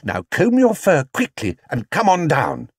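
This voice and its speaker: A man voicing a lively, eager, bossy but fond young girl in a children's story. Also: on the high side, measured pace, husky, no echo, clean studio sound, pitched above the performer's own voice, exaggerated voice. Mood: bossy, excited.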